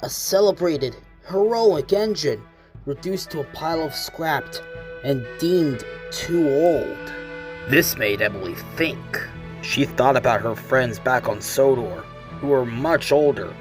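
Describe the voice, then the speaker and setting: A man's voice: mid-range voice, animated, takes it slowly. A man reading scripted narration for a children's story in a fan comic dub. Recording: music under the voice.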